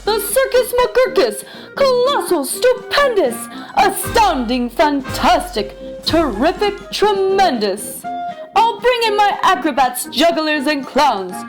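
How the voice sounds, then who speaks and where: A woman's voice: very high-pitched, very animated, slow pace, over music, full character voice. A woman voicing an eager, boastful young boy from a storybook who talks in grandiose, singsong showman's patter. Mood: boastful, dreamy.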